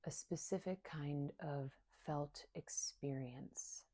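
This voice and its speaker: A woman leading a guided meditation in a soft, soothing, unhurried way, leaving long pauses between short phrases. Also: low-pitched, dry recording. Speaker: meditation guide and somatic coach.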